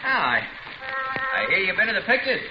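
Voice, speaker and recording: An actor playing a chatty, friendly filling station attendant with folksy small talk in an old radio drama. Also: male voice, sits high, huge pitch swings, fairly quick, over music. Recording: muffled, noisy old radio recording.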